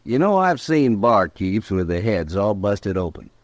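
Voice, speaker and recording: An actor playing a surly, menacing drifter with drawling cowboy talk in an old Western radio drama. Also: male voice, lowish voice, big pitch swings, a little slow, no echo. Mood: menacing, taunting. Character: surly, menacing drifter from Texas, itching for trouble.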